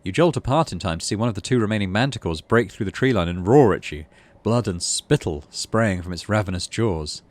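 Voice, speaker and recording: A man's voice: fairly low pitch, expressive, fairly quick, hardly any pauses, no echo. A British man hosting a gaming playthrough, reading game text aloud and chatting casually about it. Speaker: gaming channel host.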